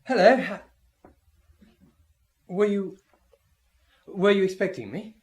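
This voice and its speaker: An actor playing a nervous, timid visitor in a radio drama, halting and repeating himself. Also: male voice, sits high, darkish tone, roomy sound.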